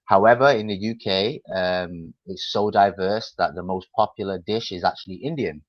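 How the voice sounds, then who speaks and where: A young man with a Mancunian accent talks easily over a video call, his voice sitting very low and unhurried. He fills pauses with ums and restarts as he explains things. The call audio is dull and dry. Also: no echo. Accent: Mancunian English. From Manchester, England. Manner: relaxed, chatty, many fillers and restarts. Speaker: English tutor. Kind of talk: casual video-call conversation.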